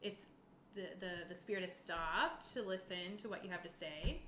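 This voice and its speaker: A woman's voice: lowish voice, moderate pace, fairly bright tone. A woman acting as game master, improvising narration and informally talking her players through their choices. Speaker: game master running a tabletop session. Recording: echoey room with background noise.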